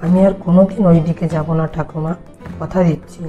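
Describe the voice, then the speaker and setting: Lady doing a curious bold little village boy for a spooky cartoon, kinda low for a woman, faint music under. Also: steady tone, normal speed, mellow, lo-fi sound, lightly acted. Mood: shaken, remorseful. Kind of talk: Bengali animated horror story dialogue.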